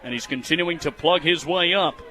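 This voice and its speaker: Young man, American accent, high-pitched, sharp bright tone, football radio play-by-play, excitable announcer delivery. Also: a little fast. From United States, Michigan. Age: 20s. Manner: excitable, raising his voice on plays.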